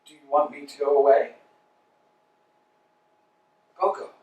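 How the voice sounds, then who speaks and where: A man's voice: medium pitch, level tone, a little slow, a little mellow, dull audio, close to an everyday voice. An actor playing an anxious, talkative male tramp in a staged play reading, fussing and lecturing, recorded in an echoey room from a distance. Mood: fretful. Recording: echoey room, mic far off.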